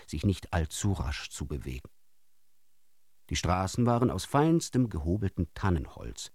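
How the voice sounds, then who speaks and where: Wry literary storyteller for musical radio drama, deep register, very varied intonation, deliberate theatrical delivery. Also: male voice, darkish tone, no echo, full character voice. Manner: deliberate, theatrical, with pointed pauses. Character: wry male storyteller of absurd fairy tales. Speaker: narrator.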